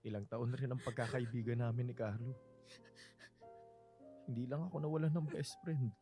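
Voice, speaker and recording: A man's voice: mid-range voice, fairly even intonation, normal speed, warm tone, close to an everyday voice. A man acting an earnest, grieving best friend in a radio drama, comforting and pleading in a Filipino accent. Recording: studio voice over prominent dramatic music.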